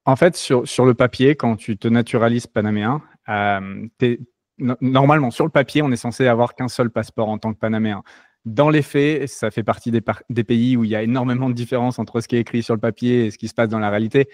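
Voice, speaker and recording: A man's voice: mid-range voice, fast talker, dry recording. French man, casual and dry-sounding. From France. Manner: relaxed, many fillers and restarts. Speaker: interview guest. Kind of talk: casual conversational interview.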